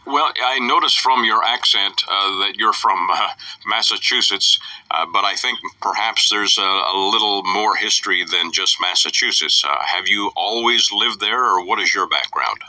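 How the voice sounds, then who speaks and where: A man hosts an author interview in a very low voice with a strongly bright edge. He asks questions haltingly, filling gaps with uhs. The sound is dry and close. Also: dry recording, low-quality recording. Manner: halting, many uhs, light joking. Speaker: talk show host. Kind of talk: interview host.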